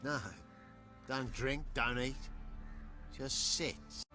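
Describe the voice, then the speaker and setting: An actor playing a plain-spoken, guarded servant of a baron in a video game, with a clipped rustic manner. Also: male voice, mid-range voice, fairly even intonation, very slow, pushed and bright, faint music behind. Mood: glum.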